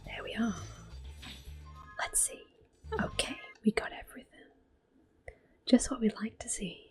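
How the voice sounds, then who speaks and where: A woman whispering soft-spoken commentary while she plays a video game for an ASMR audience, close to the microphone. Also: on the high side, huge pitch swings, a little slow, breathy edge, faint music behind. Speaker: ASMR gaming host.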